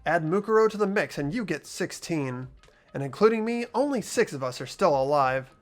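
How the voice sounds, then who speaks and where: A person reading visual novel narration and dialogue expressively, voicing an earnest, anxious high school student. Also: animated, moderate pace, soft background music. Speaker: player reading a video game's lead role aloud.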